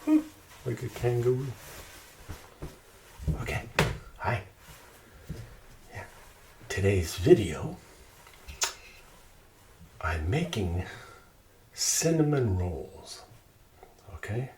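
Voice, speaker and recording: A man's voice: lowish voice, very animated, mellow. A man chatting casually and unhurriedly as he hosts an ASMR-style cooking video in his kitchen. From Quebec, Canada. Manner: unhurried, talking to himself, asking viewers questions. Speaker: home cooking host. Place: home kitchen. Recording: distant mic, clearly noisy, clicking sounds.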